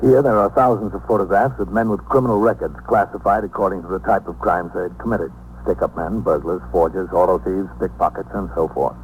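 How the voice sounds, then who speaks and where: Low-voiced, methodical radio police captain, acted by a man. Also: steady tone, a little mellow, lightly acted. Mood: matter-of-fact narrating. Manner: measured, matter-of-fact. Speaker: actor in a radio police drama. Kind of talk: 1950s radio acting, dialogue and first-person narration. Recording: noisy, humming 1950s radio recording.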